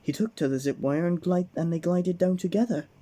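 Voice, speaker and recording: Casting: male bedtime-story reader, mellow dark tone, gentle storybook narration for children. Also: high voice, fairly quick.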